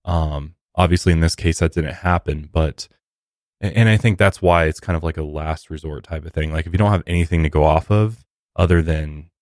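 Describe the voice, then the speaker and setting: A man's voice: extremely low pitch, brisk, a little mellow, dry recording, clean studio sound. A man co-hosting a podcast, giving casual conversational commentary. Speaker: podcast co-host.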